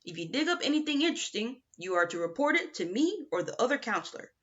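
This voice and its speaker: Woman playing a blunt, bossy male camp counselor, animated. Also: on the high side, quick pace, voice pushed up. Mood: stern, bossy. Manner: commanding, blunt. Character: gruff, domineering male camp counselor in cowboy hat. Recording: dull lo-fi home recording.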